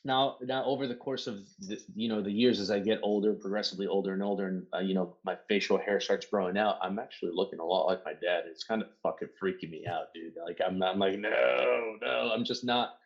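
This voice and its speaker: Low-voiced guy from socal, spanish first language, cussing a lot while telling family stories, kinda dull muffled sound. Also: fairly even intonation, a little fast, creaky, hardly any pauses. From Southern California. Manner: swears freely, storytelling with mock quotes. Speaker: podcast guest. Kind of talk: casual podcast chat.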